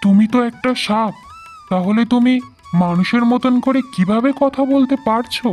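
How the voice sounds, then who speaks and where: A man's voice: very high voice, fairly even intonation, moderate pace, darkish tone. A man playing a brave, earnest young adventurer in a fairy-tale cartoon. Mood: wary, earnest. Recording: music behind the voice.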